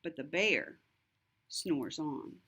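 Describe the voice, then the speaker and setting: A woman reading a rhyming picture book aloud to children, expressive and deliberate, with a sing-song lilt. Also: low-pitched, breathy edge. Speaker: storybook reader. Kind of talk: scripted children's read-aloud narration.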